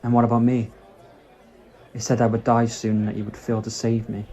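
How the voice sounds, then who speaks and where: A man's voice: mid-range voice, completely flat delivery, brisk, mellow, natural voice. A man playing a curious, earnest human companion to a time traveller in a fan audio drama, with a questioning manner. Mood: worried.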